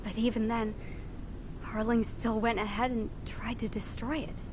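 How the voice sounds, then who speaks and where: An actress in a video game cutscene playing an earnest, sorrowful young princess, speaking solemnly and haltingly. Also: female voice, little pitch movement, lightly acted. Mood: solemn, troubled. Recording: loud vehicle rumble behind. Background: engine rumble.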